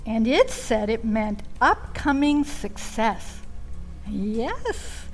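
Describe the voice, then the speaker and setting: Slow, high-voiced woman storyteller. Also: sing-song, low-quality recording. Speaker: storytelling contestant. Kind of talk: comic first-person storytelling on stage.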